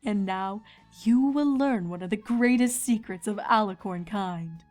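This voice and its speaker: Lady doing a calm royal pony princess, gentle teacher type, measured, cartoon storybook reading. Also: mid-range voice, soft background music, lightly acted. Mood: patient, teaching. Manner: placid, delicate, measured, teacherly. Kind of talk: cartoon-style fan fiction dramatic reading.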